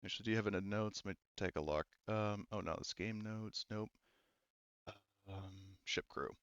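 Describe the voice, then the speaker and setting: Smooth-voiced man running a tabletop game. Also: on the low side, moderate pace, a bit bright, no echo, dull audio. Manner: informal, sweary, hesitant, thinking aloud. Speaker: game master. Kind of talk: casual tabletop game narration.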